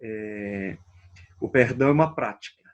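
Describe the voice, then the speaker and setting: A man, a pastor, explaining ideas in a measured way and restating his terms in a panel discussion. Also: on the low side, lo-fi sound, a little hiss or hum.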